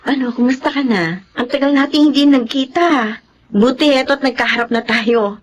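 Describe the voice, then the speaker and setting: A woman's voice: sits high, normal speed, lo-fi sound, a little hiss or hum, close to an everyday voice. A woman with a Filipino accent playing a forgiving, composed ex-girlfriend and mother in a TV drama. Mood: chatty, welcoming.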